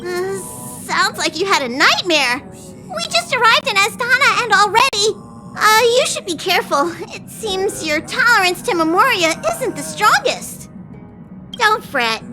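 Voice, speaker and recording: A woman voicing a small, cheerful, fussy mascot creature with a squeaky, childlike voice for a video game. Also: exaggerated voice.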